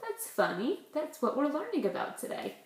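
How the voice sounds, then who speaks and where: A woman's voice: high-pitched, huge pitch swings, normal speed, slight background noise. A woman, a preschool teacher leading circle time and reading a picture book, asking simple questions and explaining words for small children. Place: indoors in a room at home. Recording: roomy, echoey home recording.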